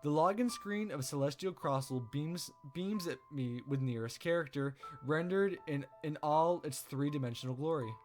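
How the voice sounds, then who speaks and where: A man reading a visual novel aloud as a let's play host, with expressive storytelling narration. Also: fairly high pitch, normal speed, few pauses, faint music behind.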